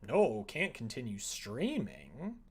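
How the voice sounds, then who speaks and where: Young man, livestream host, casual chat, mid-pitched voice, self-deprecating, sardonic grumbling. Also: huge pitch swings, slow pace, breathy edge. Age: young adult.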